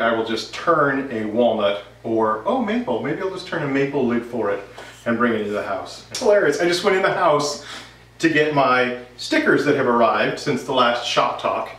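A man's voice: medium pitch, fairly bright tone. Man chatting in a roomy workshop. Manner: rambling, conversational. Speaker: woodworking vlog host. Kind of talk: casual update chat to camera. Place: home workshop, indoors. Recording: roomy, distant, noisy workshop recording.

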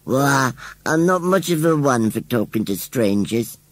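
A man voicing a shy, wheezy male scarecrow who speaks slowly and mournfully. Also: medium pitch, lively intonation, no room sound, pitched above the performer's own voice, lightly acted. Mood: forlorn.

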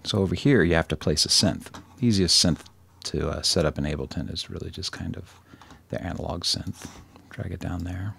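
A man presenting a casual screen-recorded software tutorial, thinking aloud in an informal, self-deprecating way. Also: low voice, fairly quick, creaky, husky.

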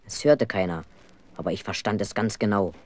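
A man's voice: on the low side, brisk, darkish tone, natural voice. An actor playing an earnest, aggrieved schoolboy who tells his own story in a 1970s German children's radio play. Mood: earnest storytelling.

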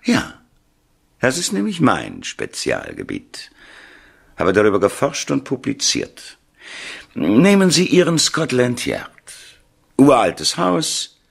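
An actor playing an eccentric, mocking professor of the occult in a 1970s radio play, speaking theatrically in clipped phrases with dramatic pauses. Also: male voice, mid-range voice, wildly swooping pitch, gravelly, husky, exaggerated voice. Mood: emphatic, mocking. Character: eccentric American parapsychology professor, ominous and mocking.

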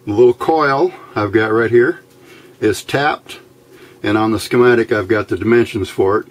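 A man giving an informal hands-on electronics tutorial in a plain-spoken, halting way, recorded at an indoor workbench. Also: on the low side. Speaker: electronics hobbyist demonstrating a build.

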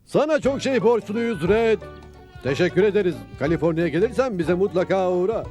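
A polite devoted settler husband, cartoon dub, high pitched, bit of music behind. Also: male voice, animated, moderate pace, darkish tone. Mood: grateful, tender. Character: earnest, mild pioneer husband, grateful and devoted. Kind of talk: dubbed cartoon acting.

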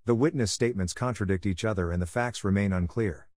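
A man with a fairly low voice reads out test sentences at a fast clip. The sound is dry and close, with no room to it. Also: a little mellow, dry recording. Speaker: test prompt reader. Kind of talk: scripted sentence reading for an English test.

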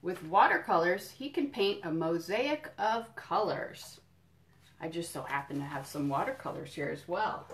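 A woman doing a children's storytime, reading a picture book in deliberate phrases and explaining things simply to young listeners. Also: lowish voice, expressive, a little hiss or hum. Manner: addresses young listeners directly with questions and encouragement. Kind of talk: children's storytime read-aloud with friendly asides.

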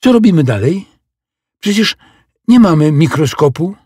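A man voicing a clever, eager little girl in a children's storybook, with excitable, emphatic delivery. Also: a little high, normal speed, breathy edge, dry recording, clean studio sound, voice pushed up. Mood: worried, amazed.

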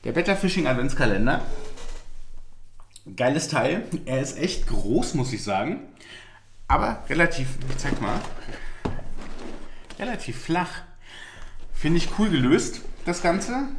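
Chatty man in a noisy home room. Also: medium pitch. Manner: chatty, upbeat, talks while handling a box. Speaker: fishing lure channel host. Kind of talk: casual unboxing vlog. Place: small indoor room with shelves.

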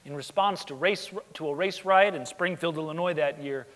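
A man's voice: a little high, moderate pace, fairly bright tone, natural voice. A man giving a scripted dramatic reading as narrator on a theatre stage. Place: large indoor theatre stage. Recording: echoey theatre hall.

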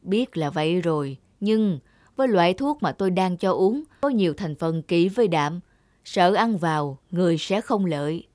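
A woman voicing a kindly, conscientious old male village healer in a children's cartoon, giving advice in a measured, earnest way. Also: fairly low pitch, lively intonation, a little mellow, no echo, clean studio sound, natural voice. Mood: advising. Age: older. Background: music.

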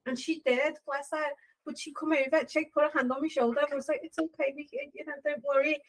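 A young woman co-hosting a podcast, telling excitable stories and acting out what people said, on a sofa into a boom mic. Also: fast talker, low-quality recording. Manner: excitable storyteller, acts out quotes. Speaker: podcast co-host. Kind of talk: casual chat. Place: small wood-panelled room, on a sofa. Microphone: desk mic on boom arm.

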